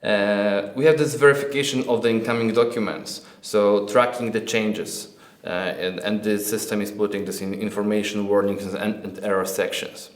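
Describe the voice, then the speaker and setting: Non-native english guy doing a product demo, kinda low voice, lots of room echo, stops and repeats words. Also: steady tone, normal speed. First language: not English. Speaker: software product presenter. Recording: echoey, roomy sound.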